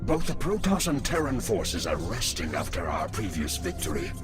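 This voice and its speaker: Smooth-scheming, lively-pitched villain's lieutenant. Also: male voice, music in the background. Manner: measured, insinuating, obsequious. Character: deferential, calculating male lieutenant of a swarm queen. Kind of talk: dramatic video game voice acting.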